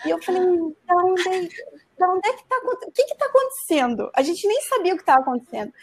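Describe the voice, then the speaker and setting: Mulher animada contando história, voz aguda. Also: female voice, very high voice, fast talker. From Santa Catarina, Brazil. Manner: animated, frequent "né" tags. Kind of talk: casual storytelling.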